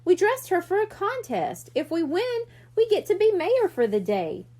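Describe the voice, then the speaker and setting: A woman's voice: extremely high pitch, very animated, normal speed, a little mellow, voice pushed up. A woman reading the part of an eager, worried young boy in a children's storybook. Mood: earnest. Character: eager, worried young boy looking for his lost pony.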